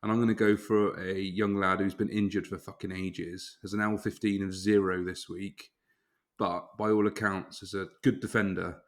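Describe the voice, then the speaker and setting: Low, flat, matter-of-fact man. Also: normal speed. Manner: steady, matter-of-fact, listing picks. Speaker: podcast co-host. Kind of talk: casual chat.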